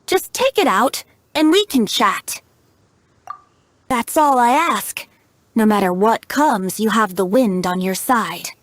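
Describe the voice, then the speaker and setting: A woman's voice: a little high, animated, takes it slowly, long pauses. A woman voicing a youthful male bard in a video game, playful and sly, with a lilting, poetic, teasing delivery. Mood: playful, earnest. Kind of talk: English video game voice acting.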